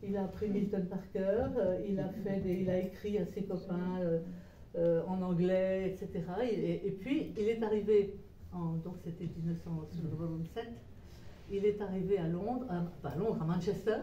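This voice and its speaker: A woman's voice: on the low side, fairly even intonation, moderate pace, warm tone, slight background noise. A woman presenting her book to a small audience in a meeting room. She tells it as a digressive story, full of hesitations and restarts. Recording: roomy echo, voice far from mic.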